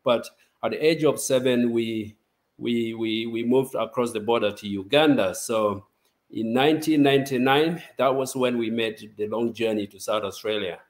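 A man's voice: mid-range voice, normal speed. A young man from South Sudan, a guest chatting easily on a video call from a home office. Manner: relaxed, chatty, laughs easily. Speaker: interview guest.

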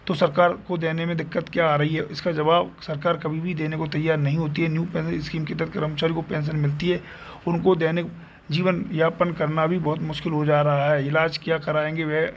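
A man's voice: fairly high pitch, level tone, fairly quick, slight background noise. A man narrating a scripted news video, reading aloud and now and then stumbling over words.

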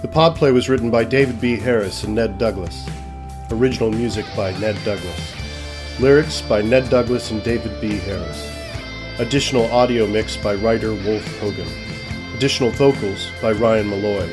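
A man's voice: on the low side, normal speed. A man reading scripted narration for a comedy audio drama. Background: music.

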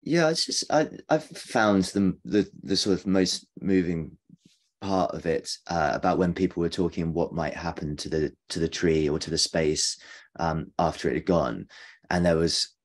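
A man's voice: low-pitched, moderate pace. A man in his forties reflecting hesitantly as a panelist on a video call from his kitchen. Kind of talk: reflective discussion. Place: kitchen at home, indoors. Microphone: video call, device at desk distance.

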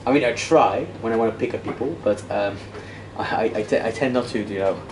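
A Dutch-native man in his thirties with a lowish voice lectures a room of students in a loose, self-deprecating way, stumbling and restarting often. He is caught by a camera across an echoey classroom. There is clear hiss and room noise behind him. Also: fairly quick. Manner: casual, self-deprecating, many restarts and fillers; walks and gestures while talking. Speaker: debate workshop lecturer. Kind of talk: interactive lecture. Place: classroom with projector screen, indoors. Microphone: far camera. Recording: echoey classroom from far off, noisy.

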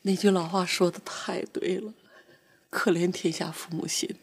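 An actress playing a theatrical, sharp-tongued middle-aged mother, scolding and sarcastic. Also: on the low side, fairly even intonation, normal speed, husky. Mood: sulking. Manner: scolding, sarcastic, turns tearful. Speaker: mother of grown children. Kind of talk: TV drama acting.

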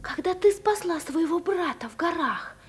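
A woman's voice: very high voice, lively intonation, moderate pace. An actress playing a curious, earnest nine-year-old girl in a radio play, with a childlike, naive manner. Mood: wistful, earnest. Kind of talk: Soviet radio play acting.

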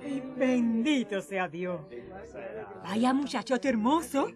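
A woman's voice: a little high, lively intonation, slow, over music. An actress playing a jolly, teasing village woman in a radio drama. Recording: busy crowd behind the voice.

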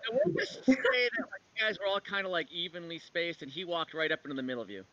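Bright, forceful man running a tabletop game. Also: on the high side, expressive, fast talker. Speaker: game master. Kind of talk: narrating an online tabletop roleplaying game. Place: home room, online video call. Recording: dull lo-fi video call.

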